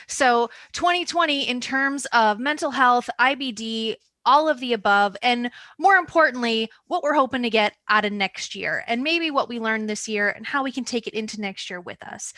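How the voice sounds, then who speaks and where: A woman hosts a roundtable over a video call, talking in an upbeat, chatty way. Her voice is bright and a touch high, recorded dry and close. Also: normal speed, hardly any pauses, no echo. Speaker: moderator. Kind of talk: online roundtable hosting.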